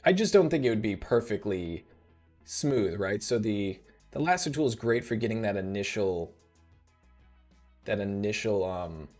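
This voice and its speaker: Man, 30s, live tutorial host; slightly rough, laid-back, lowish voice, faint music bed. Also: measured pace. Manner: relaxed, thinking aloud with fillers.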